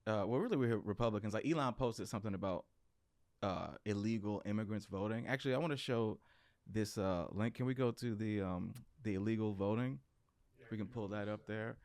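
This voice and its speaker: A man's voice: on the low side, fairly quick, a little mellow, no echo. Male podcast host, mid-range voice, dry studio tone; loose and jokey. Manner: restarts, jokey, asks follow-up questions. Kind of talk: casual podcast interview.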